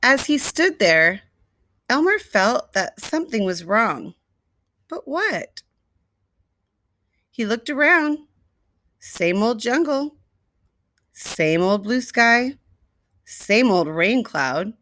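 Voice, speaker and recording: Slow-paced woman reading a picture book aloud. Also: a little high, very animated, a bit bright, no echo. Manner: slow, deliberate, with asides and questions to young listeners. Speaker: read-aloud storyteller. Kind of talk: expressive picture-book read-aloud for young children.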